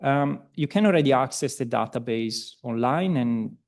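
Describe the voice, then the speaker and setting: A man from Italy with an Italian accent, giving a seminar presentation, hesitant with many ums and restarts and relaxed, self-deprecating asides. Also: medium pitch, normal speed. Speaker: seminar speaker.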